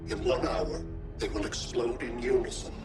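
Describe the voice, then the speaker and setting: An actor playing an imperious, analytical alien android conqueror in a video game, speaking with detached, formal precision. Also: male voice, mid-range voice, moderate pace, recorded from a distance, music in the background. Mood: menacing. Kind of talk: video game cinematic acting. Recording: echoing voice, off-mic, under loud score.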